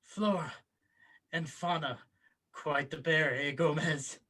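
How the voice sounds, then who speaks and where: Man acting strange evasive brother, halting, husky, bright-edged voice, comedy script. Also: on the high side, steady tone, heavily acted. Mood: guarded. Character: odd, evasive long-lost brother of a theatrical patriarch. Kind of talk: table read of a comedy film script.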